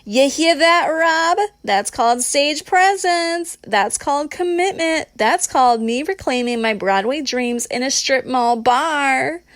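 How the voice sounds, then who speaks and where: A woman's voice: high-pitched, animated, unhurried, dry recording, clean studio sound, voice pushed up. A woman playing a brash, theatrical New show-off. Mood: triumphant, theatrical. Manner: loud, boastful, dramatic declaiming. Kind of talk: audiobook character voice.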